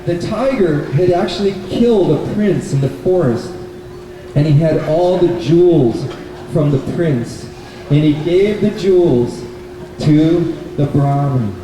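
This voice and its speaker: A man's voice: a little high, lively intonation, measured pace. A man telling a puppet-show story to children in simple, repeated phrases, often putting questions to his listeners. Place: bookstore event space. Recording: very echoey hall, distant mic, noisy.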